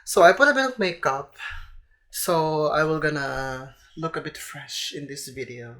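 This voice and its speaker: A woman's voice: sits low, a little slow, fairly bright tone. A young woman with a Filipino accent, a native Tagalog speaker, chatting casually to camera as a vlogger while she has a cold, often calling her viewers "guys". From Philippines. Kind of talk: casual advice vlog to camera.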